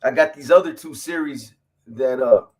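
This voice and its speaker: A man's voice: medium pitch, normal speed. A man in his fifties hosting a casual livestream, answering viewer comments in a laid-back, profane, slang-heavy way. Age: 50s.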